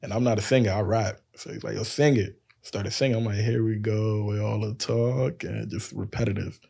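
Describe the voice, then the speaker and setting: A man with a deep voice and a lisp, telling loose stories on a casual podcast and acting out quoted lines. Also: steady tone, moderate pace, creaky, warm tone, slightly rough. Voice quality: says he has a lisp. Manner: loose storytelling, acts out quotes, laughs often. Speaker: podcast cohost.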